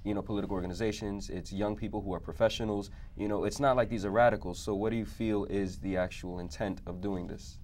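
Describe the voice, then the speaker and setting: Low-voiced young man moderating a panel. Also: fairly even intonation, fast, few pauses, darkish tone, a little hiss or hum. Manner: casual, many likes and you-knows. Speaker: host, moderator. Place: TV studio.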